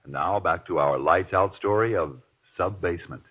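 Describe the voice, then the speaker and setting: A man announcing on 1940s radio, delivering formal links and an emphatic sponsor pitch. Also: normal speed, husky. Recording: tinny, narrow-band old radio recording.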